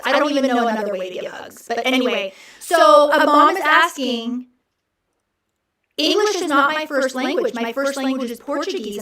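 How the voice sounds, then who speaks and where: A middle-aged woman hosting a casual livestream, chatty and encouraging in an animated way. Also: sits high, roomy sound, recorded from a distance. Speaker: host.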